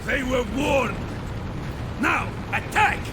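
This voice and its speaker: Frantic officer screaming orders, super high pitched, loud battle noise everywhere. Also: male voice, animated, takes it slowly, full character voice. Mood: furious. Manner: shouting hoarse orders. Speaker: frontline officer. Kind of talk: video game cutscene acting.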